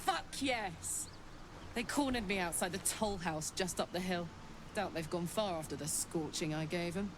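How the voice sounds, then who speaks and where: A woman's voice: fairly low pitch, moderate pace, natural voice. A woman playing a brash, battle-hardened warrior on the run from devils in a video game, with a blunt, cocky, sweary manner. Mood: fired up. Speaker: video game companion character. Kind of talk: dramatic video game voice acting. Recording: game audio with clear background noise.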